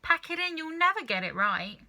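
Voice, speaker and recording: A woman's voice: high-pitched, very animated, brisk, pushed and bright, pitched above the performer's own voice, full character voice. A woman voicing a sceptical, nagging farmer's wife in a children's storybook, doubting and scolding.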